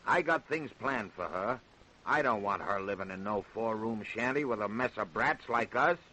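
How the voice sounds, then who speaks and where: A man's voice: on the low side, expressive, moderate pace, bright, forceful tone, a little hiss or hum, low-quality recording. An actor playing a strict, bitter, middle-aged working-class American father in 1930s radio drama style. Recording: old radio drama recording.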